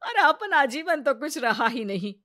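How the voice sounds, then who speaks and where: A grumbling, self-pitying mother-in-law, voiced by a woman for a cartoon story. She scolds and moans in a slightly high voice. The recording is dry and close-miked. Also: sing-song, normal speed, bright, forceful tone, no echo, full character voice. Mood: complaining. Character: scolding middle-aged Indian mother-in-law, complaining. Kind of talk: cartoon voice acting.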